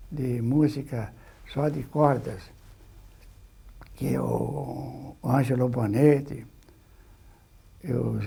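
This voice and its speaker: An older man with a Brazilian accent reminiscing haltingly in an interview, often adding short tag questions, his voice husky and gravelly. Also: mid-range voice, mellow.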